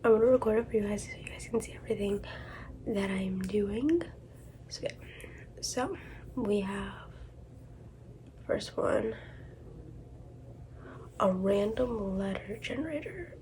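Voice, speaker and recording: A woman's voice: mid-range voice, unhurried, pauses often, low-quality recording. A sick, congested woman chatting casually in a book vlog, rambling and thinking aloud as she goes. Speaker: book vlogger. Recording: steady background noise.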